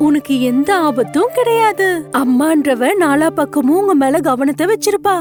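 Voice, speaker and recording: High-pitched protective mother hen voiced by a woman. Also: lively intonation, normal speed. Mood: admonishing. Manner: admonishing, pleading. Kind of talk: children's cartoon story acting.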